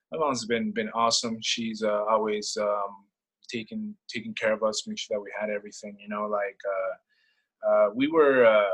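A man's voice: fairly low pitch, a bit bright, breathy edge, low-quality recording. A young man from El Salvador talking casually and personally in conversation, searching for words and repeating himself as he goes. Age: young adult.

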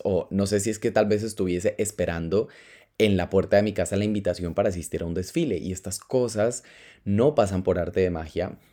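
A man hosting his own podcast, speaking in a very low, mellow voice. He tells his story in an animated, chatty way and slips in the odd English phrase. His first language is Spanish. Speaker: podcast host. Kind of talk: casual solo podcast monologue.

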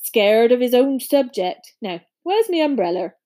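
A woman's voice: fairly high pitch, big pitch swings, unhurried, dark tone. A woman voicing a big, good-natured man with a blunt, folksy, emphatic way of talking in a storybook. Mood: hearty, confiding. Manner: folksy, informal, emphatic speech.

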